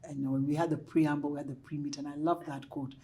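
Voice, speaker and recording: A woman's voice: sits low, level tone, fast, dark tone. A woman from Kenya hosting a podcast, chatty and playful as she asks questions. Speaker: podcast host. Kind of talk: casual interview.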